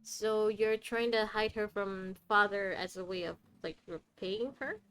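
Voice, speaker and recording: A woman's voice: medium pitch, unhurried, a bit bright. A woman streaming a game, chatting and teasing the characters in casual commentary.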